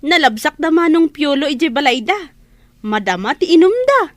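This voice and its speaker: A woman's voice: high-pitched, big pitch swings, normal speed, no echo. A woman playing a chatty, caring younger sister who nudges her big brother in an audio drama. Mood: nagging.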